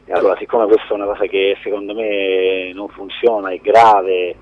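Low-voiced man on a dull radio line. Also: little pitch movement. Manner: halting, repeats words, trailing off. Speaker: film producer, interview guest. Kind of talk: conversational radio interview answers.

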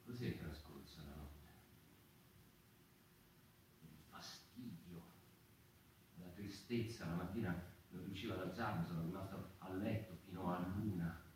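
A man's voice: low voice, a little mellow. Anguished sleepless man, echoey and distant. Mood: weary, fearful. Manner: deliberate, halting phrasing with dramatic pauses. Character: anguished sleepless man haunted by scurrying mice. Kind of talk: theatrical monologue. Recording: roomy, distant stage recording with faint noise.

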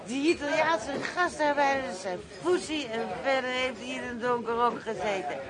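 A woman's voice: sits high, moderate pace, close to an everyday voice. An actress in a radio drama playing a flirtatious, boastful gangland party queen with a teasing, drawling delivery. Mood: teasing, seductive. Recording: loud party crowd in radio play.

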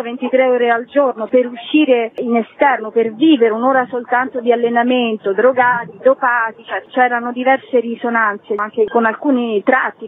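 A woman giving an interview as a theatre director, explaining her work in an earnest, articulate, reflective way with frequent tag questions. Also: a little high. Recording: noisy, tinny phone line.

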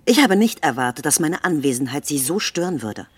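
A woman's voice: low-pitched, big pitch swings, brisk, breathy edge, dry recording, full character voice. A woman playing a proud, wounded wife in a radio drama, formal, pointed and haughty. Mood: offended, haughty.

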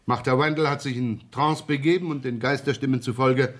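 An actor playing a gruff, sarcastic, irreverent police inspector in a radio crime drama. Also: male voice, medium pitch, fairly even intonation, fairly quick, fairly bright tone, close to an everyday voice. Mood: businesslike. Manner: grumbling, blunt, dry sarcasm. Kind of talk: 1970s German radio crime drama acting.

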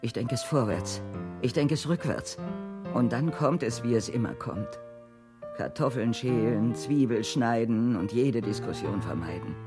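A woman's voice: very deep voice, expressive, measured pace. An actress playing a restless, coquettish and world-weary woman in a theatrical radio drama, musing in repetitive, singsong phrases. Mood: weary, brooding. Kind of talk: theatrical radio drama acting, part rhythmic monologue. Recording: music behind the voice.

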